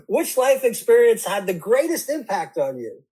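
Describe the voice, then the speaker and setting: A man's voice: high voice, very animated, measured pace, warm tone, slightly rough. A man hosting an interview podcast, hesitant with lots of fillers, jokey. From United States. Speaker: podcast host.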